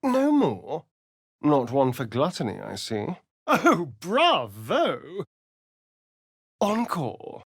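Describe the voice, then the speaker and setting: A man's voice: mid-range voice, dry recording, clean studio sound, heavily acted. A man playing a vain, sardonic vampire rogue in a video game, with an arch, theatrical, drawling delivery. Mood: mocking, theatrical. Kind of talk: video game character voice lines.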